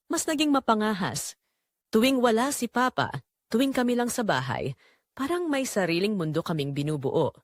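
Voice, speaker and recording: A woman reading a scripted first-person dramatic story in a measured, expressive storytelling manner. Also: mid-range voice, dry recording, clean studio sound. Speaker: narrator.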